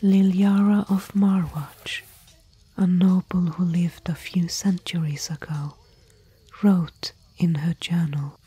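A woman playing a thoughtful, bookish expedition companion in gentle, musing, whispery ASMR roleplay. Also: fairly low pitch, steady tone, slow pace, full character voice. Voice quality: breathy, whispery. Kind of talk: soft-spoken ASMR roleplay.

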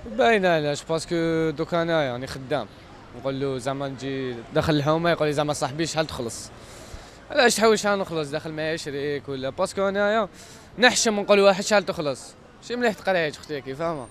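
Very fast young Algerian man. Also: fairly high pitch, fairly bright tone. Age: young adult. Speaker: passer-by being interviewed. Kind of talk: casual street interview. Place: outdoors on a busy city street. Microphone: handheld microphone. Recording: faint street noise.

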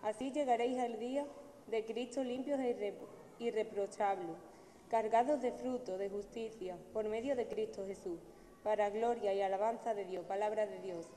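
A woman's voice: mid-range voice, moderate pace. Woman reading scripture in an echoey church. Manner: careful, steady reading. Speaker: lay reader. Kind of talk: liturgical reading. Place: large church at the lectern. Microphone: stand mic at the lectern. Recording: long church reverb, faint room noise.

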